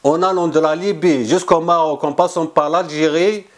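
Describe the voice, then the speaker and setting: A man's voice: on the high side, steady tone, moderate pace. A man speaking as an author in an interview, earnest and insistent, often restarting and repeating words. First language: not French. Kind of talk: explanatory interview answers.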